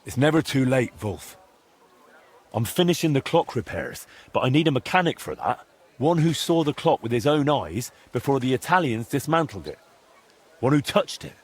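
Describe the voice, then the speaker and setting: Husky, determined young game hero. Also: male voice, medium pitch, sing-song, moderate pace. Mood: urgent, persuasive. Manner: coaxing, firm, persuasive. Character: determined, encouraging young blacksmith hero, firm and teasing. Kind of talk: video game drama acting.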